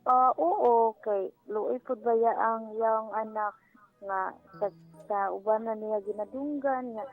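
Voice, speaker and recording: A woman's voice: a little high, fairly even intonation, slow. A woman with a Visayan Filipino accent chatting casually as a caller on a radio show. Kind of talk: casual phone chat. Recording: muffled phone call over music. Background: music.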